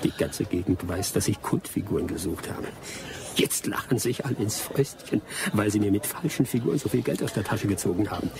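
Bitter adventurer, widely swinging voice. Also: male voice, on the low side, expressive, brisk, creaky, noisy background. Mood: sardonic. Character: bitter, vengeful adventurer back from the jungle. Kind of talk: German radio drama acting.

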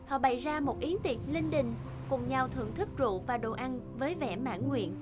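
A woman's voice: sits high, normal speed, music in the background. A woman narrator telling a scripted fairy tale.

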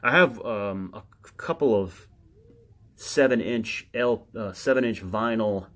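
A man giving casual, unscripted show-and-tell commentary as a collector, halting and muttering to himself as he reads aloud. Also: lowish voice, a bit bright. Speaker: record collector showing his records.

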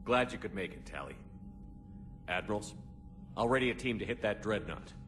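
An actor playing a male starship commander in a video game, speaking in a clipped, calm, commanding way. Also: lowish voice, normal speed, soft background music. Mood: steady. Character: male military commander, steady and decisive. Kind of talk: video game cutscene acting.

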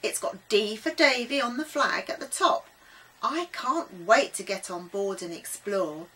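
A woman voicing an excitable, eager young boy adventurer in a children's story, exclaiming breathlessly. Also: medium pitch, a little slow, husky. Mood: excited.

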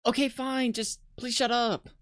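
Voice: medium pitch, flat delivery, a little slow, a bit bright, soft background music, pitched above the performer's own voice, close to an everyday voice. Performer putting on a haughty, flustered rich schoolgirl. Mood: flustered, indignant. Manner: stammering, imperious. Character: haughty, easily flustered rich schoolgirl, mean but softening.

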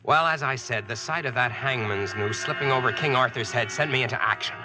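A man's voice: mid-range voice, brisk, over music, lightly acted, rough recording. An actor playing a plainspoken young American man who tells his adventure in colloquial, earnest old radio style. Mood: excited. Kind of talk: 1940s radio drama first-person narration.

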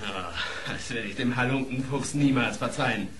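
An actor playing an indignant, hot-tempered wolf who protests in an agitated way, in a storybook radio play. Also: male voice, mid-range voice, normal speed, fairly bright tone, lightly acted. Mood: bitter, vengeful. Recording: noisy, echoey old audio play record.

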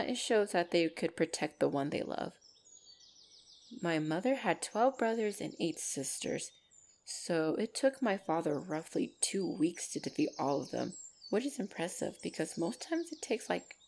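A woman's voice: fairly low pitch, lively intonation, unhurried, creaky, darkish tone, a little hiss or hum. A woman playing a loving, bashful and protective orc girlfriend in an intimate ASMR roleplay, speaking tenderly and hesitantly. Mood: affectionate, content. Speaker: voice actor in audio roleplay.

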